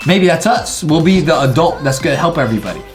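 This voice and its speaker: A young man gives excitable, casual reaction commentary for children. His pitch swings widely as he explains in exaggerated slang, with music playing behind him. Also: fast talker. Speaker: YouTube reaction host.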